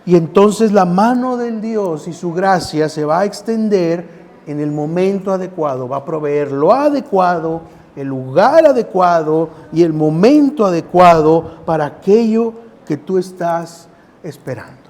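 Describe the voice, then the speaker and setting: A man delivers a sermon in a notably high voice for a male speaker. His pace is slow and unhurried. He talks to listeners conversationally, repeating phrases to drive points home. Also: lively intonation, darkish tone. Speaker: preacher. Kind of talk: sermon with scripture reading.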